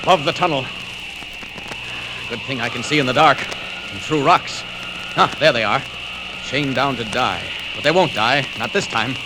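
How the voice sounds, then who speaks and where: An actor playing a determined, righteous superhero, declaiming to himself in 1940s radio drama style. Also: male voice, medium pitch, normal speed, long pauses, lightly acted. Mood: righteous anger. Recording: very noisy old radio record.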